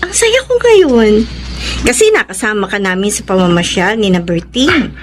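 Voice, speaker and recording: Animated, flirtatious Filipina temptress played by an actress. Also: female voice, mid-range voice, moderate pace, a little hiss or hum, natural voice. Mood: flirty, playful. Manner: coy, cajoling. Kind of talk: Filipino radio drama acting.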